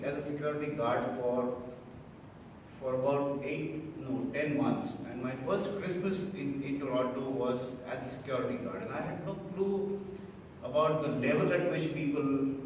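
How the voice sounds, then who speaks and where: Earnest man from India, echoey hall. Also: medium pitch. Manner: earnest, emotional, with repeated restarts. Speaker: interviewee. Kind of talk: reflective interview. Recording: echoey hall, distant mic, noisy.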